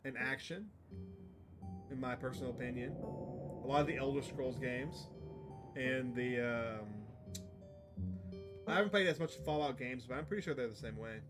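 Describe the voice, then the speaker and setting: A man's voice: mid-range voice, normal speed, long pauses, music in the background. A man live streaming a game, chatting to his viewers and reading the on-screen text aloud. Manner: chatty, addresses stream chat.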